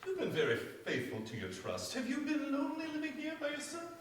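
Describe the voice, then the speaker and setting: A man's voice: very high-pitched, wildly swooping pitch, a little fast, heavily acted. A man playing a formal, dutiful family lawyer in a staged mystery radio play. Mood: courteous. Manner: formal, proper. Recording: echoey stage from far off, faint noise.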